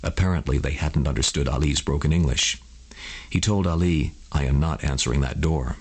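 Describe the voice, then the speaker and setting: A man tells a scripted bedtime story for adults. His voice sits extremely low in pitch and has a husky, rough-edged texture. He delivers the prose as a narrator reading to listeners. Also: moderate pace.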